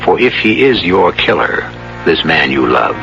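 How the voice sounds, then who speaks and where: A male radio host delivers suspenseful narration in a low, very measured voice. His inflection is lively and theatrical. Loud music plays behind him on a muffled old radio recording. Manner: theatrical, measured, addressing the listener.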